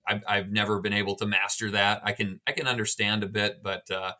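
Bright-voiced American man explaining. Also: on the low side, fairly quick. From United States. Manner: relaxed, explanatory, with fillers. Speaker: guest author. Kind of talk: casual podcast conversation.

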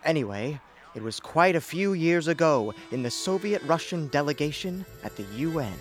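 A man playing an easygoing bartender in a radio comedy, telling a yarn in a folksy, unhurried way. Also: on the high side, animated, over music, close to an everyday voice. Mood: storytelling. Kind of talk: radio comedy play acting.

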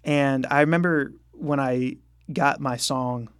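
A man's voice: mid-range voice, fairly even intonation, takes it slowly, smooth. A young man, a musician, talking candidly in an interview, self-deprecating and breaking into light chuckles. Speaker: musician guest. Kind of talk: casual interview talk.

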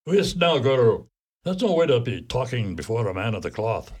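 An actor voices a self-important post office clerk in a radio play. He has a mid-pitched voice, an Irish accent and an officious, easily indignant bluster. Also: big pitch swings, normal speed, a little mellow, slightly rough.

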